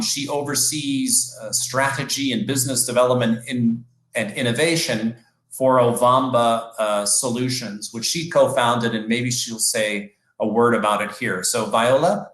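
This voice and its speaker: A man's voice: mid-range voice, fairly bright tone. Man moderating in an echoey room. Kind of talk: moderating a panel.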